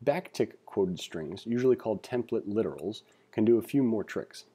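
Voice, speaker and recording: A man giving a read-along tutorial as an instructor, reading aloud and adding informal asides as he thinks out loud. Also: fairly low pitch, lively intonation, moderate pace, slightly rough. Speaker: programming instructor. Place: indoors, screen-recording voice-over.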